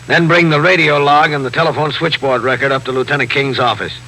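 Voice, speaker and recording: Brisk, forceful police captain. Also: male voice, mid-range voice, fairly even intonation. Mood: businesslike. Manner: terse, probing, matter-of-fact questioning. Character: brisk, authoritative, unimpressed police precinct captain. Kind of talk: 1950s radio drama acting. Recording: noisy 1950s radio broadcast recording with hum.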